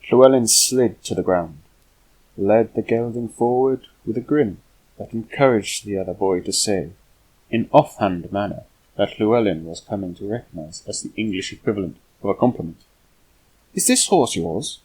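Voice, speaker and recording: A man with a husky voice reading a historical novel aloud as scripted narration. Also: fairly low pitch, moderate pace, darkish tone, rough recording. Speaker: amateur audiobook reader.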